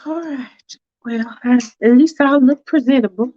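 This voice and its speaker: A woman puts on a prim, fussy lady who whines in a high, babyish sing-song. She sounds squeaky and put out, her pitch riding well above a normal speaking voice. The recording is a little muffled and lo-fi. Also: normal speed. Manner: prissy, whiny, talks in a high sing-song.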